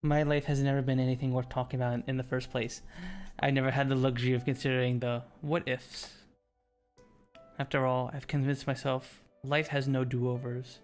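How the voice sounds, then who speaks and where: A man's voice: medium pitch, level tone, normal speed. A man with a mid-range voice hosts a casual visual novel playthrough, switching between reading the story aloud and chatting. He halts a little over unfamiliar words, with music playing faintly behind him. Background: background game music.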